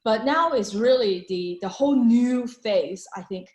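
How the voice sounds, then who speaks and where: A woman's voice: on the high side, expressive, a little slow, darkish tone. Woman presenting on a video call, chatty with lots of ums, echoey room. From Hong Kong. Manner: chatty, many fillers and restarts. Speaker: venture capital panelist. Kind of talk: presenting slides in an online panel.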